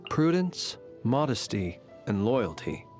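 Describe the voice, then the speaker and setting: A man voicing a courteous, centuries-old fae night watchman in a video game, speaking formally and eloquently in a measured, quietly teasing way. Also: medium pitch, very animated. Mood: dignified, reflective. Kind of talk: scripted video game character voice lines. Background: background music.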